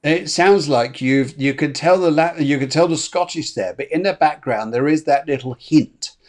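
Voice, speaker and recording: A man's voice: a little high, moderate pace. A man hosting an online spiritual show, chatting casually with many fillers and restarts. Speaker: show host.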